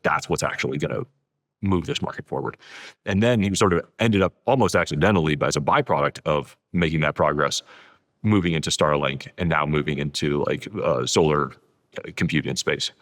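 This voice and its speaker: A man's voice: very deep voice, fairly bright tone. A man talking conversationally as an interview guest, explaining things and repeating words for emphasis. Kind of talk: conversational long-form interview talk.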